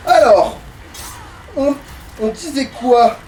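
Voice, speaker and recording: Gamer dude, pretty high voice, grumbles and swears, sounds far from mic. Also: male voice, slow, mellow. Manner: coarse, grumbling, slangy. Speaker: let's play co-host playing the game. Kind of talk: casual gaming chat. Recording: distant mic with faint noise.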